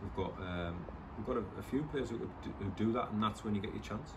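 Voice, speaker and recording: A middle-aged man, a football first team coach, talking in a relaxed, proud, chatty way after a match, often restarting sentences. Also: fairly low pitch, fast talker. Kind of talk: post-match interview. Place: football stadium stand, outdoors. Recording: distant outdoor camera audio with noise.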